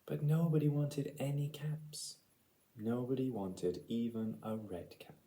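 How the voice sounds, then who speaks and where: A man reading a picture book aloud as storyteller, deliberate and dramatic in his delivery. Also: medium pitch, lively intonation, dark tone. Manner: deliberate, dramatic, with repeated phrases.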